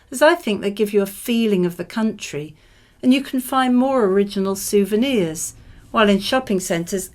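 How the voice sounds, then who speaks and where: A woman reading scripted model answers for a speaking exam in a measured, expressive way. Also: medium pitch.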